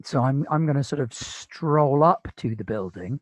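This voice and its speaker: A man playing in a tabletop game, talking through his character's moves in a relaxed, dry way. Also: medium pitch, moderate pace, dry recording. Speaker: player.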